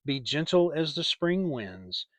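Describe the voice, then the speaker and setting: Male audiobook narrator, mid pitch, unhurried, highly varied intonation, expressive, soft music behind. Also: no room sound. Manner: expressive, deliberate storytelling. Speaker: storyteller and channel host.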